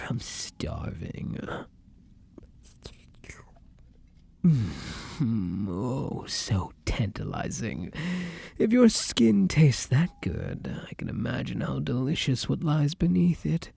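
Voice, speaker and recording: A man's voice: medium pitch, very animated, darkish tone, no echo, full character voice. A man playing a hungry vampire in an ASMR roleplay, theatrical and intimate, predatory yet devoted to his beloved. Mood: hungry, seductive. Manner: theatrical, drawn-out endearments, breathy whispers. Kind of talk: dramatic ASMR roleplay acting.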